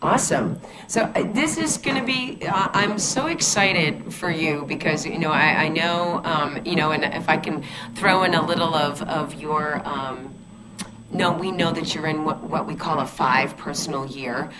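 A woman's voice: deep voice, moderate pace, heavy background noise. A woman hosting a casual radio talk show, chatty and enthusiastic, with lots of fillers and restarts. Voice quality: loud voice. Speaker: radio show host and numerologist. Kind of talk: casual radio interview chat.

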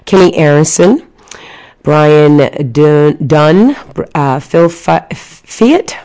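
A woman's voice: low-pitched, lively intonation, drawn-out words. A woman hosting a casual radio talk show, chatty and enthusiastic, with lots of fillers and restarts. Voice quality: loud voice. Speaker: radio show host and numerologist. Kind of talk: casual radio interview chat.

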